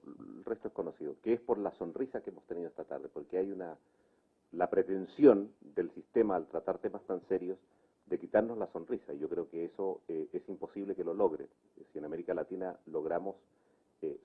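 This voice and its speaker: Low, husky man, phone-like sound. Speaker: moderator closing a public debate. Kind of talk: spontaneous closing remarks at a public debate. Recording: muffled, phone-like debate recording.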